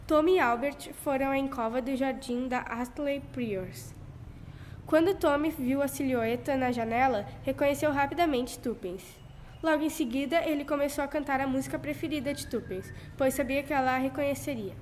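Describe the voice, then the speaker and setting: A woman's voice: sits high, normal speed, a little mellow, a little hiss or hum. A young teenage girl with a Brazilian accent reading scripted narration for a 1940s-style school radio drama.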